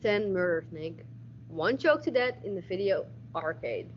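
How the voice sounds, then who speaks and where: A woman's voice: fairly low pitch, expressive, a little slow. Muffled, hardboiled detective played by a young woman. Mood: dry, hardboiled narration. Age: teens. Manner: stumbles and repeats words while reading. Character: hard-nosed, wisecracking male police detective. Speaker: school radio drama actor. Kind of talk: hardboiled detective radio-play narration. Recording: dull audio with faint noise.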